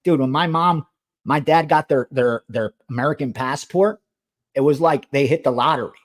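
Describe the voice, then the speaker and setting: A man's voice: on the high side, normal speed. A middle-aged man whose first language was Greek, hosting a sports betting show and giving a heated, emphatic monologue with swearing and repeated phrases. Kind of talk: impassioned monologue.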